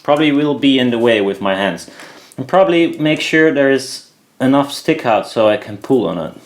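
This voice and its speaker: A man's voice: medium pitch, lively intonation, moderate pace. A man giving casual, hesitant hands-on commentary, muttering to himself as he works at an indoor bench. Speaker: DIY maker. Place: indoors at a home workbench. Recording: clear background noise, clicks of handling. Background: noise and clicking.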